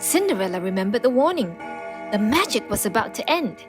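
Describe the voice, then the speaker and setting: A woman narrating a children's storybook in an expressive read-aloud style. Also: medium pitch, brisk, loud music behind the voice.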